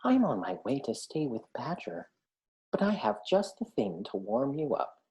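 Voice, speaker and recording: A woman voicing a small, kind young male hedgehog in a storybook, bright and earnest. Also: lowish voice, huge pitch swings, unhurried, vocal fry, voice pushed up, heavily acted, low-quality recording.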